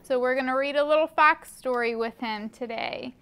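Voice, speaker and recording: A woman's voice: high-pitched, moderate pace, a bit bright, clean tone. A young woman hosting a children's library story time, reading a picture book aloud and putting questions to small listeners. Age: young adult. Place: carpeted library children's room, indoors. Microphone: camera a few feet away.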